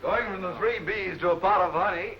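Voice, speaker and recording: A man's voice: sits high, a little fast, dull audio, slight background noise. A man hosting a live television game show with loose banter, on an old 1950s TV soundtrack. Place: television studio.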